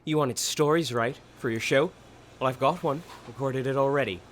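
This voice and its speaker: A man playing a surly, sarcastic small guy with a gruff, curt manner in an audio drama. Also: mid-range voice, moderate pace, a little hiss or hum, close to an everyday voice. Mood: gruff, impatient. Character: Styx, surly, short, intimidating male best friend.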